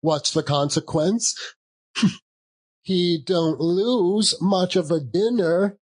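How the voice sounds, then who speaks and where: A man voicing a jolly young gentleman in an audiobook, hearty and good-humoured, chuckling between phrases. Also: high voice, takes it slowly, voice pushed up. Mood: good-humoured, amused. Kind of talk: audiobook character voice.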